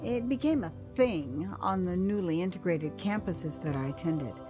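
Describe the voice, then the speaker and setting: Expressive American woman storyteller, music behind. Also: fairly low pitch, normal speed. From United States. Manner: theatrical, expressive, savouring words. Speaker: author narrating own audio drama. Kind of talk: dramatised memoir storytelling.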